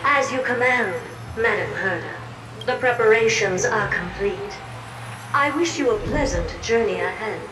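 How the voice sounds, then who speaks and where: Echoing, obedient magic mirror servant. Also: female voice, fairly high pitch, animated, measured pace. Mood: formal. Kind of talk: acted video game dialogue. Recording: echoey, noisy ambience behind.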